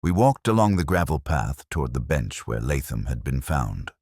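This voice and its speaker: A man with a very deep voice narrates a first-person detective story. His delivery is measured and melancholy, with lively movement in pitch. The sound is dry, with no room around it. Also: slightly rough, dry recording. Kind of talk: first-person scripted literary mystery narration.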